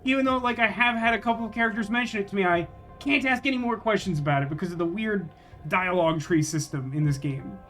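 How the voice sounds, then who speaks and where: A high-voiced man gives casual live commentary on a game. He thinks aloud in a rambling, wry way, with faint music playing behind him. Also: quick pace, fairly bright tone. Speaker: game streamer.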